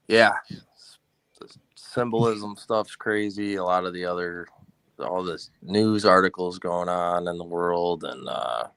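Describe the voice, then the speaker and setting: Low gravelly guy, monotone, laid back, calling in to a stream. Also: no room sound. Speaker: guest caller. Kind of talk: casual chat.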